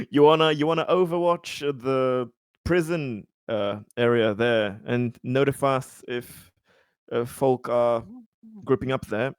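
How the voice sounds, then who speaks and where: Male player, mid-pitched, casual stop-start talk with repeated words, very dry recording. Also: slow, clean tone, dry recording. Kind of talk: casual tabletop game chat.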